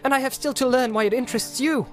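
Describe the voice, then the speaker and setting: Man voicing an indignant, high-pitched young hobbit, music behind. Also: fast. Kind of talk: audiobook character acting.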